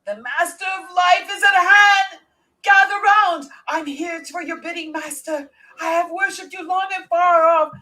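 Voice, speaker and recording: A woman's voice: extremely high pitch, measured pace, bright, forceful tone, exaggerated voice, poor audio. A woman playing a fawning, fervent asylum lunatic devoted to a master, theatrically, in a horror script read. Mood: frenzied.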